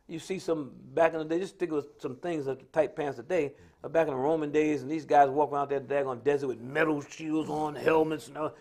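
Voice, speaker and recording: A man's voice: on the high side, darkish tone. An American man speaking off the cuff in a preaching style on a religious talk stream, emphatic and repetitive, with colloquial storytelling. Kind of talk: impromptu preaching-style social commentary.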